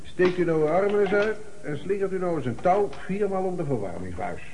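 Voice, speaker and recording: An actor playing a methodical, commanding police inspector in a Dutch radio drama, questioning and giving brisk orders. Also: male voice, sits high, animated, a little mellow. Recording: noisy old radio play recording.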